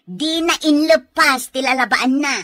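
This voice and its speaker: A woman's voice: sits high, measured pace, bright, forceful tone, dry recording. A woman playing a bossy, resentful older sister in an Ilocano radio drama, scolding sharply with an Ilocano accent.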